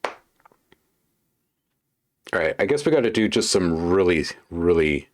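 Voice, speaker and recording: A man's voice: low-pitched, very animated, unhurried, no echo. A man hosting a casual livestream while making beats, chatting with viewers and thinking aloud as he works. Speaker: livestream host and music producer.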